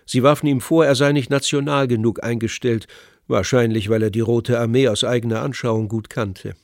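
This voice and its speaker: A man narrating a scripted radio documentary in a measured, articulate, essayistic way. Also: mid-range voice, fairly even intonation, no room sound, clean studio sound.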